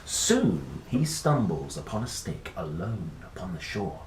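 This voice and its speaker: A man reading a children's picture book aloud in a playful, expressive manner, with chatty asides. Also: low-pitched. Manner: playful, expressive, dramatic pauses and exclamations. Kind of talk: animated storybook read-aloud with chatty asides. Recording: roomy echo, faint background noise.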